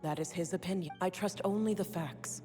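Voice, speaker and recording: Imperious alchemist woman, acted by a woman, dark low-leaning voice, measured, detached, formal, long reverb, video game. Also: faint music behind, natural voice. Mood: cold, solemn. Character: cold, imperious, analytical master alchemist woman.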